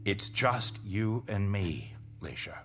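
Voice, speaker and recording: Game drama: solemn, controlling male vampire guardian, lowish voice with lively swings, faint music underneath. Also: full character voice. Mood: grave, regretful. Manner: insistent, solemn, deliberate explanations. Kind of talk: dramatic video game cutscene acting.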